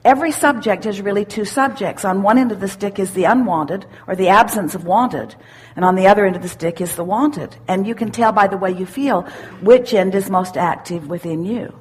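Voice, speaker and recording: A woman giving spiritual self-help teaching to a questioner, assured and deliberate, repeating phrases for emphasis. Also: medium pitch, breathy edge. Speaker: speaker leading a question-and-answer workshop.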